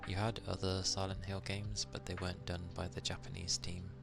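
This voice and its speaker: A man from England with an English accent, chatting casually on an ASMR stream, soft-spoken and at times drifting into whispers. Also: sits low, level tone, fairly quick, creaky, over music.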